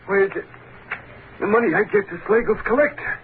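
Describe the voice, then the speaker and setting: An actor playing a wary, exasperated working-class gas station owner in an old radio drama, slangy and agitated. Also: male voice, high voice, a little fast, close to an everyday voice. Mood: baffled, doubtful. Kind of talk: 1930s-40s radio drama acting. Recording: noisy, phone-like old radio broadcast.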